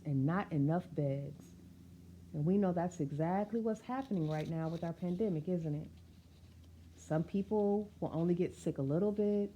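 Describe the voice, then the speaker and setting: A woman reads a rhyming children's book aloud with a highly animated, swooping delivery. Her mid-pitched voice leans into key words with emphasis. She breaks off for conversational asides and questions to her listeners. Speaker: storyteller reading a picture book to children.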